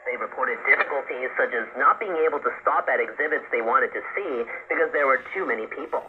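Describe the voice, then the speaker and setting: A man's voice: medium pitch, quick pace. Male newsreader on thin, tinny shortwave radio. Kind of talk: scripted news bulletin reading.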